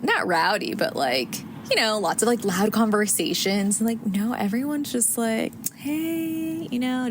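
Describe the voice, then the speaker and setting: Chatty American woman podcasting. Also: mid-range voice. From United States. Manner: chatty, many fillers and restarts. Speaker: podcast host. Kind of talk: casual solo podcast monologue. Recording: audible background noise.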